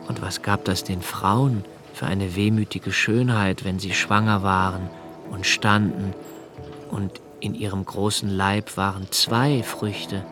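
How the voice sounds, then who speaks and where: An actor playing a brooding, anxious man of twenty-eight in a radio play, narrating his notebooks in a measured, reflective way with deliberate pauses. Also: lowish voice. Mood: intense, brooding. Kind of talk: literary radio play monologue, first-person narration.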